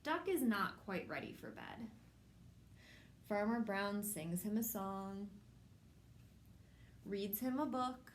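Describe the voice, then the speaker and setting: A woman hosting a children's storytime, reading a picture book aloud in simple, measured phrases addressed to young children. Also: a little high, slight background noise. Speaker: children's storytime host at a public library.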